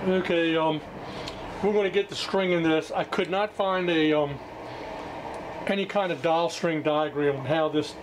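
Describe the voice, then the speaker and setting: Higher-voiced man, halting and folksy, noisy recording. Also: fairly even intonation. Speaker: hobbyist radio restorer hosting a repair video. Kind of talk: casual unscripted repair walkthrough. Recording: clearly noisy amateur recording.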